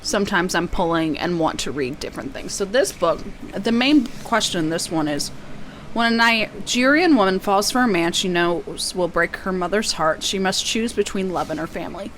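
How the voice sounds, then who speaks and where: A woman with a slightly hoarse, tiring voice, chatting casually and a bit self-deprecatingly as she presents an unboxing in a rambling way. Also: mid-range voice, expressive, normal speed, a bit bright, slight background noise, rough recording. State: losing her voice, slightly hoarse. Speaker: book vlogger.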